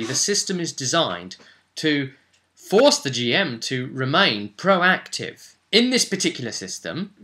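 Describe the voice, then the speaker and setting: Man, mid-pitched, bright forceful tone, enthusiastic explainer, emphatic delivery, chatty rhetorical asides. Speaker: tabletop role-playing video presenter. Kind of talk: enthusiastic explainer talking to viewers.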